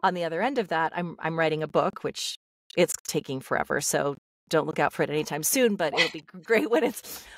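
Candid middle-aged woman, low dry voice. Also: expressive, a little fast, no room sound. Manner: open, confessional, lots of fillers and restarts. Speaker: guest, voice actor. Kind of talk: candid personal storytelling. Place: home room, video call. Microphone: studio mic on stand.

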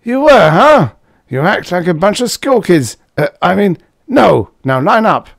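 Guy doing a loud tipsy young drill boss in an audiobook, hiccupy, bossy, voice jumping all over. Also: medium pitch, sing-song, normal speed, dry recording, clean studio sound, voice pushed up, natural voice. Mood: gruff, brash. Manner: boastful, gruff barking orders. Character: drunk brash young male drill leader.

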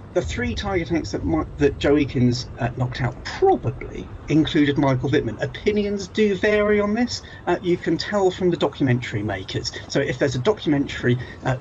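A middle-aged British man delivers an informal history lecture from a small home office. He tells it like a story, stressing key points and posing rhetorical questions. His pitch is average for a man, and the recording is dull and lo-fi with faint noise. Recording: dull lo-fi audio with some noise.

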